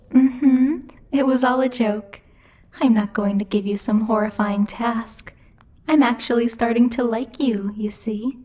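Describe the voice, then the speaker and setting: A woman's voice: fairly high pitch, darkish tone. A woman voicing a bossy, teasing female demon who rules hell, speaking in a haughty, playfully menacing ASMR roleplay style. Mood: amused, teasing. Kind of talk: ASMR roleplay, intimate scripted monologue to the listener.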